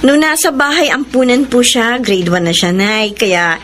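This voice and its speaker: A woman's voice: a little high, steady tone, a little slow, a bit bright, slight background noise. A woman acting a devoted, tender wife in a Filipino radio drama. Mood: pleasant. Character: devoted, tender young wife and respectful daughter-in-law.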